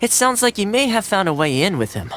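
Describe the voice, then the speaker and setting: An actor, a man, playing a polite, diplomatic video game character explaining things in a measured, tactful way. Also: sits high, very animated, a bit bright, faint music behind. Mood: calm, tactful.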